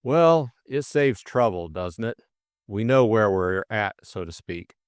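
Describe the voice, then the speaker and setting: Man playing a stolid, impassive police superintendent, lively pitch. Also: smooth, dry recording, clean studio sound. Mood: stolid, cautious. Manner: measured, impassive, plain-spoken. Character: stolid middle-aged police superintendent, wooden, cautious, unflappable.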